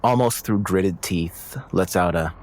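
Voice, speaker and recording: Deep-voiced man improvising a story. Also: measured pace. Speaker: player narrating own character. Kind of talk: improvised tabletop game storytelling.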